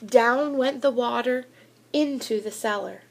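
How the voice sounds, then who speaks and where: A woman with a high voice reads a children's storybook aloud. She takes her time, with a deliberate, animated storytelling delivery that puts expressive emphasis on the words. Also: gravelly, a little hiss or hum. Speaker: school reader of children's books. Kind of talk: expressive children's picture book read-aloud.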